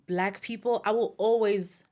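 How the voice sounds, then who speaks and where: A woman's voice: mid-range voice, fairly even intonation, measured pace, mellow. A woman with a South African accent hosting a casual interview, earnest and supportive as she talks. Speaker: podcast host.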